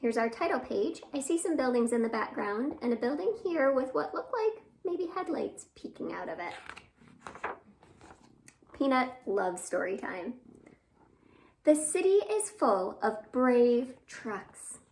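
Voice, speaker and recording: A woman's voice: a little high, sing-song, normal speed, vocal fry, darkish tone, a little hiss or hum. A woman hosting a children's storytime, reading expressively with asides and questions to young listeners. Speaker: library storytime host.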